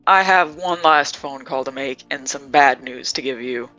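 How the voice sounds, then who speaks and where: A sardonic, quick-witted vampire talks in a bright, smooth voice, as a player puts him on. The sound is dull and lo-fi, with faint music in the background. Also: medium pitch, natural voice.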